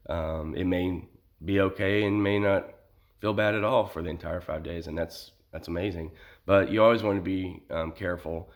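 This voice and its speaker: An American man talking casually in a personal vlog, halting as he searches for words, with a sore throat and a voice starting to give out from illness. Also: low voice, gravelly. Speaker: vlogger sharing illness experience.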